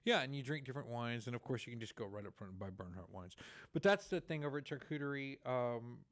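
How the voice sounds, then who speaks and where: A man's voice: medium pitch, animated, fast talker, no room sound. Texan guy hosting local radio, rambly, lots of um and restarts, dry close sound. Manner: rambling, many restarts and fillers. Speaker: radio show host. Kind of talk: casual radio talk show chat. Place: radio studio.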